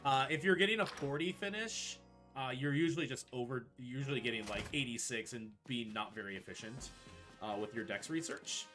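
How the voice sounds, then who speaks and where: A man with a bright, forward voice explains his play as he goes, in a casual, conversational way. He sounds like a speedrunner talking on a live stream, with music playing behind him. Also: mid-range voice, brisk. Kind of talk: casual live gaming commentary. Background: video game music.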